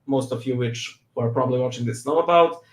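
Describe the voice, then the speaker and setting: A man's voice: medium pitch, a little fast, roomy sound. A young adult man from Serbia with a Serbian accent, whose first language is Serbian, speaking as a guest in a casual interview, laughing often and making self-deprecating jokes. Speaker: guest, church reader and YouTube creator.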